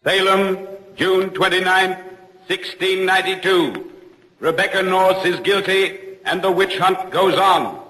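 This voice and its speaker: A solemn vintage radio announcer, a man with a high, forceful voice. He delivers lines dramatically into a big echoing reverb. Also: measured pace. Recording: echoing old radio broadcast, long reverb.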